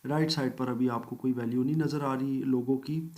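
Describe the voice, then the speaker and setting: Man, mid-pitched, patient step-by-step software tutorial narration. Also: fairly even intonation, brisk. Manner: methodical step-by-step explaining, polite "ji" and "janab" asides; mixes English phrases into speech. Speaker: computer course instructor. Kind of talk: screen-recorded software tutorial.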